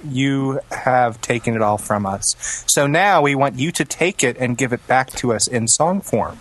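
A man's voice: mid-range voice, expressive, a little slow, low-quality recording. A man co-hosting a podcast with casual, irreverent, teasing banter. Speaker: podcast co-host.

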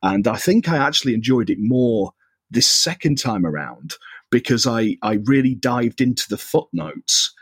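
A man's voice: mid-range voice, animated, moderate pace, breathy edge, no echo. A man with a Mancunian accent, a podcast guest chatting casually with lots of fillers, restarts and laughs. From northwest England. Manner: rambling, laughs often, many fillers and restarts. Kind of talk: casual chat.

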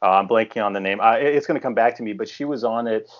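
A low-voiced francophone Canadian actor on a remote podcast interview, feeling his way through answers over slightly muffled audio. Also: fast talker. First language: French. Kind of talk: casual interview chat.

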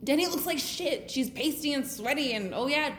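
A woman's voice: high-pitched, expressive, moderate pace, fairly bright tone. A woman playing a practical, skeptical young woman in an audio drama, blunt and dryly sarcastic. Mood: urgent, sarcastic. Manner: dry sarcasm. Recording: echoey room, faint noise.

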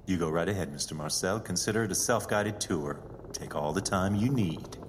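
An actor playing a haughty, secretive rich heir in an audio drama, speaking in formal, deliberate, pompous phrases. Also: male voice, low-pitched, big-room sound, slight background noise, lightly acted. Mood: coolly imperious. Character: haughty wealthy male heir, secretive and imperious.

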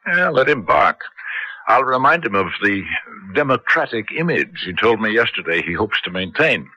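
An actor playing a measured, diplomatic foreign service official in a 1970s radio drama. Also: male voice, on the low side, big pitch swings, sharp tone, breathy edge, full character voice. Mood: cautious, dry. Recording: muffled vintage radio broadcast.

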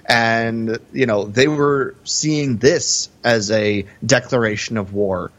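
A man's voice: mid-range voice, slow, a bit bright. A man co-hosting a podcast, explaining things in a hesitant, conversational way during casual film analysis. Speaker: podcast co-host. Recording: slightly dull, lo-fi audio.

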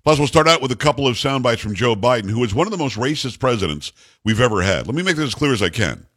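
Male talk radio host, deep bass voice, loud and upbeat, dry studio sound. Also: animated, fast, husky, dry recording. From New York. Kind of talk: energetic talk radio monologue.

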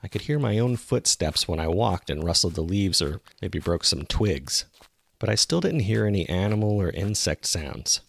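A man performs a male jogger recounting an eerie experience in a scripted horror story. His voice sits very low, with a heavy creak at the edges. He tells it in a measured, suspenseful way. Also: expressive, no echo, exaggerated voice. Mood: measured, uneasy. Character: local male jogger recounting a terrifying discovery in the woods. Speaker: narrator. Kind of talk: scripted first-person horror narration. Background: ambient sound effects.

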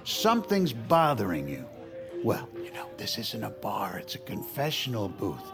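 A man's voice: fairly low pitch, huge pitch swings, moderate pace, vocal fry, over loud music, full character voice. An actor playing a jovial, hard-drinking male vice president in a period audio drama, with a wisecracking, rambling manner. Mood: jovial, teasing. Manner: chuckling, wisecracking.

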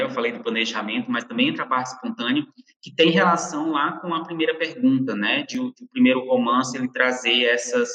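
A man, a novelist guest in an interview, speaking haltingly with many restarts. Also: medium pitch, sharp tone. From interior of Ceará, Brazil. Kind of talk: casual panel interview. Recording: echoey room with long reverb.

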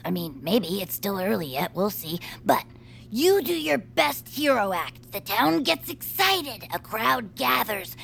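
Brief: a plotting superfan commander, high lively voice with heavy gravel. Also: female voice, slight background noise, exaggerated voice. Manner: eager, persuasive, scheming. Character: eager young female self-styled space commander, scheming superfan. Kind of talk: audio drama acting.

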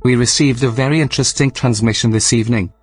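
A man playing a controlling station AI in a sci-fi audio drama, with a medium-pitched male voice. He is coldly polite and sardonic, choosing each phrase deliberately to threaten. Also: steady tone, breathy edge, faint music behind, lightly acted. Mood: coldly menacing. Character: coldly menacing, controlling male artificial intelligence. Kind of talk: science-fiction audio drama acting.

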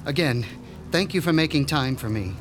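An actor playing a meek, sinister underground doctor who speaks formally and softly sardonic. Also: male voice, on the high side, expressive, moderate pace, lightly acted. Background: music score.

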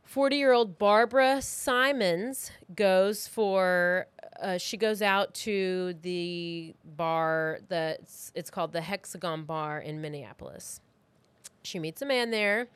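A woman's voice: on the low side, slow, clean tone, dry recording. A woman hosting a true crime podcast, telling the story in a casual, conversational way with informal, blunt asides, close to the microphone.

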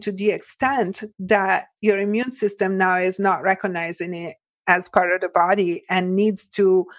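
A middle-aged woman with an Italian accent, a nutrition practitioner speaking earnestly as a guest in an interview, full of "like" and "you know" and quick restarts. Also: medium pitch, no echo. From Italy. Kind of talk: podcast interview.

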